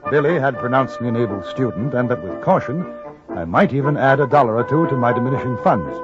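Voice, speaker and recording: A man portrays a well-mannered English correspondent out West. He speaks in a medium pitch with literate, reflective phrasing and an English accent. The recording is a dull 1950s radio broadcast. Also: animated, music in the background, close to an everyday voice. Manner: polite, measured, literate. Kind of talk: 1950s radio drama narration.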